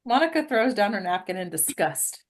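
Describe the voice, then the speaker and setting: A woman's voice: mid-range voice, big pitch swings, moderate pace. A woman reading stage directions as scripted narration at a table read.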